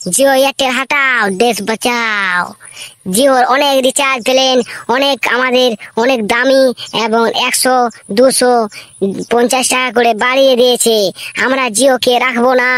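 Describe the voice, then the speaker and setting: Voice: mid-range voice, a bit bright, no echo, lightly acted. An actor voicing an earnest, rousing cartoon schoolboy from a poor cowherd home, speaking in a repetitive, declamatory way. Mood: rallying. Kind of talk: comic cartoon speech with chanted slogans.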